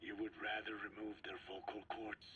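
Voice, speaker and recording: An actor playing an ancient male scientist in a video game, speaking in a measured, formal, precise way with a whispery voice. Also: lowish voice, flat delivery, sharp tone, a little hiss or hum. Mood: calm, instructive.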